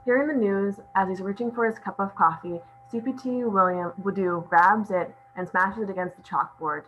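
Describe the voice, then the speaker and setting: A woman's voice: mid-range voice, normal speed, a bit bright, lo-fi sound, slight background noise. A woman reading stage directions as scripted narration at a table read.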